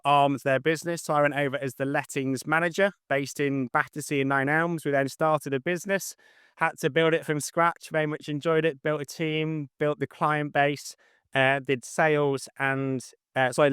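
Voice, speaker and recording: A man with a fairly high, clear voice answers questions in a casual interview. His delivery is slightly monotone and matter-of-fact, and the sound is close and dry. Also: no room sound. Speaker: interview guest, estate agent.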